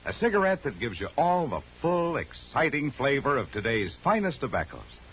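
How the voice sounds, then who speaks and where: A man's voice: big pitch swings, moderate pace. An American man reading a 1950s radio advertisement as a polished, emphatic announcer. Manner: polished, emphatic sales pitch. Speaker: commercial announcer. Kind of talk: 1950s radio cigarette ad read. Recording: dull 1950s radio recording, faint noise.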